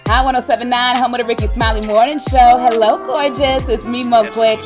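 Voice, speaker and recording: A woman's voice: fairly high pitch, steady tone, fairly quick, music in the background, pitched above the performer's own voice, natural voice. A woman performing as an upbeat, chummy radio DJ, punchy and hyped on a close mic. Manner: bright, punchy, hyped delivery. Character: upbeat, chummy female radio DJ doing a break. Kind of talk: radio DJ talk break. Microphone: desktop USB condenser mic, close.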